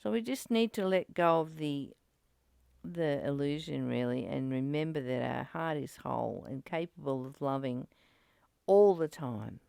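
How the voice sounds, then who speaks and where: Woman, spiritual study host, creaky higher voice, earnest, reflective questions, close dry sound. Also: measured pace, mellow, dry recording. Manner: earnest, uses rhetorical questions. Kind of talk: informal spiritual teaching and discussion.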